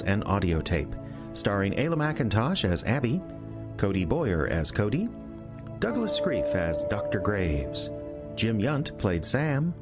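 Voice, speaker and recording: A man hosting and announcing a radio drama show, reading credits and an earnest appeal to listeners. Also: mid-range voice. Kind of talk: scripted announcement.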